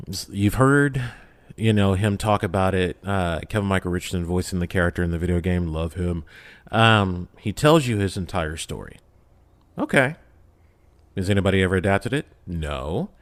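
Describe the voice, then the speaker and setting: Man, low-pitched, podcast guest, casual motivational talk, emphatic, rhetorical questions. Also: expressive, normal speed. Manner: emphatic, poses and answers own questions. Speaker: podcast guest, audio drama creator.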